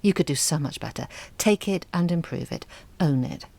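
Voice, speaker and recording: Audio drama part: deep-toned female as a self-deprecating writer, quietly determined, measured, gently pleading. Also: expressive. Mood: self-deprecating, urging.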